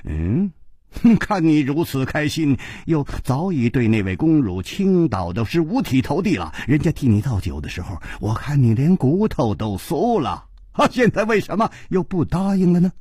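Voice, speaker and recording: A man's voice: a little high, very animated, moderate pace, dark tone, breathy edge, no echo, studio quality. A man doing an easygoing teasing hero.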